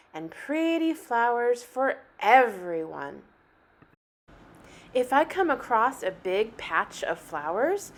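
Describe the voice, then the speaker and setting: A woman reading a picture book aloud like a teacher, with animated, deliberate phrasing meant for young children. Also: fairly high pitch. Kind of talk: expressive children's story read-aloud.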